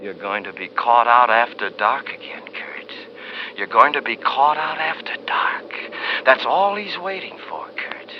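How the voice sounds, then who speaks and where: An actor playing a sinister, taunting inner voice in a 1950s radio drama, breathy, whispery and insistent. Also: male voice, medium pitch, close to an everyday voice. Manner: slow, insistent, repetitive. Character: sinister, taunting male voice inside a hunter's head. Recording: dull, noisy 1950s radio recording.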